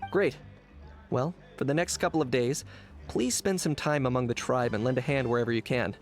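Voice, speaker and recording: A man playing a cool, pragmatic young hunter for hire in scripted game dialogue, measured and matter-of-fact. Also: mid-range voice, close to an everyday voice. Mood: cool, businesslike. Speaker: game character voice actor. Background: game background music.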